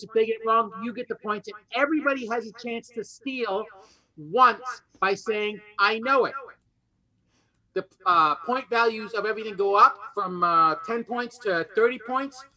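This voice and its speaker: A man hosting a quiz show in a lively, partly improvised style, introducing and explaining as he goes. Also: sits high, muffled recording. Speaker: game show host.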